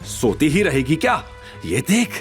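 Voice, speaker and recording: Man as a gloating, animated scheming mother. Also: a little high, a little slow, over music, voice pushed up. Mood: brisk. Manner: gleeful, animated, teasing.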